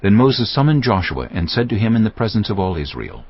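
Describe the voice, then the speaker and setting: A man's voice: lowish voice, big pitch swings, brisk, slightly rough. A man narrating scripture as a scripted dramatized audiobook reading. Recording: muffled audio with music underneath.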